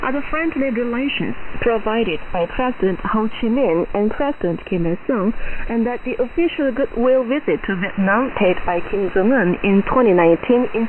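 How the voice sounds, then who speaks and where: Female newsreader on noisy, tinny shortwave radio. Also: a little high. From North Korea. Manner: formal, solemn, measured reading. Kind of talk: formal scripted state news bulletin. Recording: noisy, narrow-band AM shortwave radio reception.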